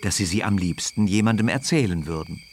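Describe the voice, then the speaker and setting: A man narrating a children's radio story in an expressive, measured storytelling manner. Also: lowish voice, a little hiss or hum. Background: loud music.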